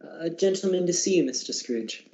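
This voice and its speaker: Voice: medium pitch, animated, moderate pace, dark tone, lo-fi sound, natural voice. A performer playing a timid, deferential male clerk in a radio drama, meek and stammering.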